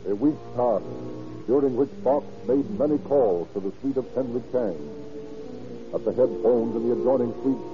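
A man reading scripted narration for a 1940s radio drama in a measured, dramatic way. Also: lowish voice, lightly acted. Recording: dull old radio recording. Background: music.

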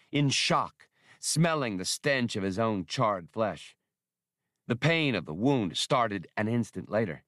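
A man's voice: fairly low pitch, a bit bright, dry recording, clean studio sound. A man narrating adventure fiction as an audiobook in a dramatic, scripted reading style.

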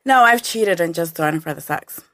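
A woman's voice: on the low side, animated, normal speed, fairly bright tone, no echo. A woman who is a guest on a podcast, chatting casually in a studio and firing back blunt retorts. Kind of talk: casual chat. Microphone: studio mic, pulled close.

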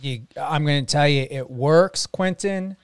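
A man hosting an interview, asking encouraging, unhurried questions. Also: a little high, smooth, dry recording, clean studio sound. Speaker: interview host. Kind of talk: casual interview chat.

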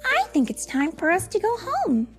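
Woman as young girl animal, high-pitched, sweet, polite, gently exclaiming, storybook character. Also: huge pitch swings, moderate pace, over music, heavily acted. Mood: gently concerned.

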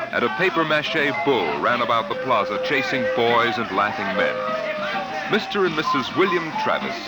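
A man's voice: mid-range voice, low-quality recording. A man narrating a 1950s radio drama in a solemn, theatrical way. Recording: vintage radio recording with loud music.

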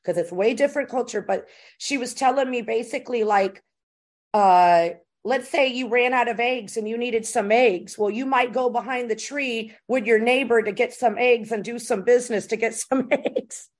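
Chatty lady podcast host, kinda high voice, super informal, slangy interview talk. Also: normal speed. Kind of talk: casual conversational interview.

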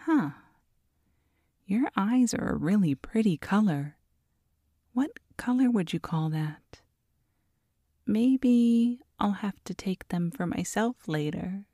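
A woman's voice: mid-range voice, lively intonation, dark tone, no room sound, clean studio sound. A woman voicing a small, smug, flirty goblin mother who teases and taunts in an ASMR audio roleplay. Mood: teasing. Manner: teasing, smug, drawn-out villainous taunting.

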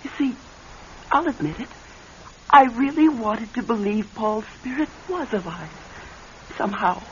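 An earnest wife wronged by her husband. She speaks theatrically, with lively rises and falls. The classic radio drama recording is muffled, with faint noise behind her. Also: a little high, a little slow. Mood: hurt, sorrowful. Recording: muffled old radio broadcast with faint hiss.

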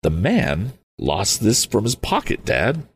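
An eager, earnest young inventor, as a man reading aloud puts him on, keen and quick to speak. The voice is pitched higher than the reader's own and has a lively lift. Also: slight background noise, exaggerated voice.

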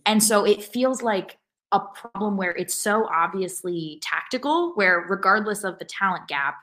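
A woman co-hosting a casual sports podcast, speaking in a measured, earnest way. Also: medium pitch, expressive, pushed and bright. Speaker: podcast co-host.

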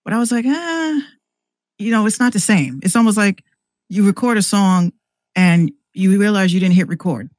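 A woman's voice: medium pitch, expressive, darkish tone, no room sound. Woman chatting casually in a dry recording. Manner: slangy, halting delivery with repeated words. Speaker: podcast guest. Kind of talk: casual chat, storytelling.

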